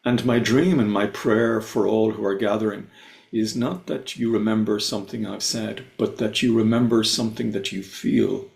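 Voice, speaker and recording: An Irish man giving a slow, heartfelt spiritual talk on a video call, lingering on key words. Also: on the low side, creaky, a little mellow. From Ireland. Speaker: invited speaker. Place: his home, on a video call. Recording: echoey room over video call.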